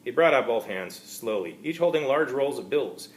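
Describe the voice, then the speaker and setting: A man narrates a first-person story as an amateur reader. His delivery is halting and wry. His pitch varies widely, giving the sentences a lot of movement. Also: animated, rough recording. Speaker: author reading his own story.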